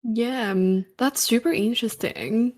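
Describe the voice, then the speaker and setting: A woman hosting a podcast interview, friendly and upbeat, reacting with quick short affirmations. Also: a little high, darkish tone. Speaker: podcast host. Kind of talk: casual interview conversation.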